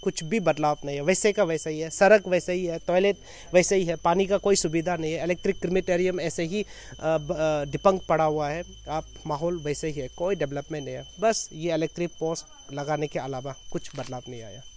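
A man's voice: fairly high pitch, fairly even intonation, a little fast, a little mellow, slight background noise. A man in his thirties with a Northeast Indian accent from Arunachal, giving an earnest press statement outdoors and repeating phrases in lists for emphasis. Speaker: foundation chairman, activist. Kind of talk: press statement, spoken off the cuff. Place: outdoors in an open clearing with trees. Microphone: handheld reporters' microphones held at chest height.

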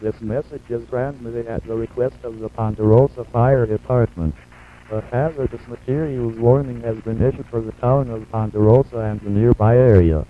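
Man as automated emergency alert announcer, flat, formal, measured, muffled lo-fi VHS broadcast sound. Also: medium pitch, a little hiss or hum, lightly acted. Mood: impersonal, grave. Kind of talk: scripted emergency broadcast warning.

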